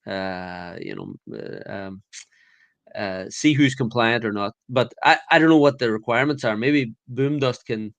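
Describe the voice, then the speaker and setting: A man's voice: mid-range voice, very animated, unhurried, creaky, fairly bright tone, no room sound. A man with an Irish accent, guesting on a podcast and chatting casually with many fillers and restarts. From Ireland. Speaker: podcast guest, conference founder.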